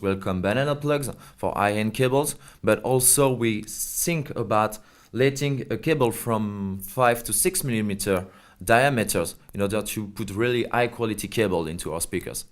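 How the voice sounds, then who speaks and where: A man's voice: fairly low pitch, normal speed. Man explaining a product. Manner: hesitant non-native English phrasing. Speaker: company representative being interviewed.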